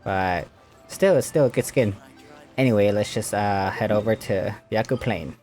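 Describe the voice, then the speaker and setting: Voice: deep voice, normal speed, a little mellow, soft background music. A video game streamer giving casual, informal gameplay commentary, with reactive asides and dialogue choices read aloud.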